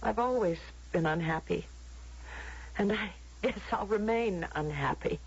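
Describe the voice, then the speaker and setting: An actress in an old radio drama playing an unhappy, defiant, lovesick young woman, plaintive and halting. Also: medium pitch, husky. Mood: aching, sorrowful. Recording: dull lo-fi vintage radio drama recording.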